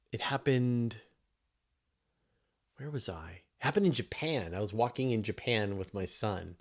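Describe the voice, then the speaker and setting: A man with a fairly low voice hosts a casual science Q&A livestream. He talks conversationally, leans hard on key words, and often restarts a thought to put it another way. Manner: emphatic, conversational, often rephrasing mid-thought. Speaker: host of a science question show; science journalist. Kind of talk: casual livestream Q&A, reading questions and answering off the cuff.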